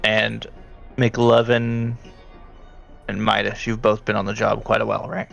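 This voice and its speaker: A man's voice: on the low side, completely flat delivery, measured pace, vocal fry, a bit bright, soft background music. A man improvising narration as a tabletop game master, talking conversationally and directly to his players.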